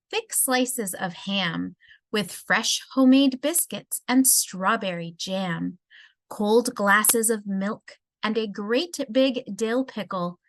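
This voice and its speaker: Female storybook reader, unhurried delivery, close dry sound, rhyming read-aloud for children. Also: medium pitch, a bit bright, no echo.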